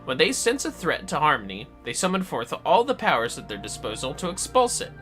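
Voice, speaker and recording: A man's voice: on the high side, huge pitch swings, fairly quick, fairly bright tone. A man voicing a solemn, earnest forest mage from a fantasy game, reading dialogue aloud in a formal, archaic, theatrical manner. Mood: solemn, grave. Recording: music behind the voice.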